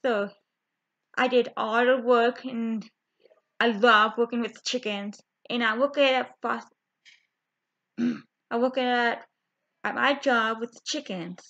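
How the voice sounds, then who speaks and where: A young woman chatting to her webcam from a small bedroom, halting, with many fillers and restarts. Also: a little high, steady tone, fairly bright tone. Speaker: vlogger. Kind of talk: casual chat to camera. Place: small bedroom with window blinds. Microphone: webcam at close range. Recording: dull lo-fi webcam audio.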